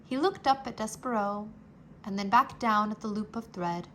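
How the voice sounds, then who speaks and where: A woman reading a children's storybook aloud, slow and expressive, dropping to a whisper at quiet moments. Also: mid-range voice, a little hiss or hum. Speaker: storybook reader. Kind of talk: children's read-aloud narration.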